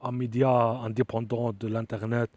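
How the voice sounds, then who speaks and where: A man giving an earnest, insistent appeal straight to camera, halting often with fillers and restarts. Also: medium pitch, little pitch movement, a little mellow, no echo. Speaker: asylum seeker making an appeal video. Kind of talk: direct-to-camera appeal monologue.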